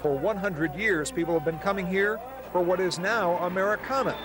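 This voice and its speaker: A man's voice: high voice, moderate pace. A man narrating a news feature in a wry storytelling delivery. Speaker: news reporter. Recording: loud outdoor noise behind.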